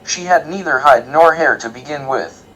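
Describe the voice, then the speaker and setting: A man's voice: fairly high pitch, normal speed, bright, forceful tone, low-quality recording. A man playing a polite, literal-minded scholarly robot alien in an audio comedy, speaking formally and precisely. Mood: politely pedantic. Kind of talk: comedic audio drama acting.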